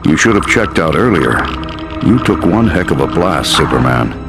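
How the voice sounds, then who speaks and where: Male game scientist: very deep, gravelly, wry helper. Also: very animated, normal speed, exaggerated voice. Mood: wry, concerned. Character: wry, concerned male scientist, hero's ally. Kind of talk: video game cutscene acting. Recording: loud music over the voice.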